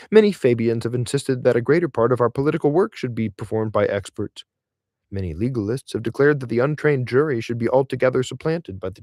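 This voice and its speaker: A man reading a literary essay aloud in audiobook style, in a measured, expressive way with deliberate emphasis. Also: mid-range voice, no room sound, clean studio sound.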